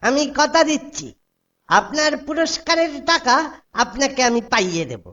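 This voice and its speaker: A clever court jester in a cartoon, played by a woman, with a very high voice. He speaks calmly and with total self-assurance. The recording is slightly dull. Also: moderate pace. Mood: calm, sly. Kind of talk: cartoon voice acting.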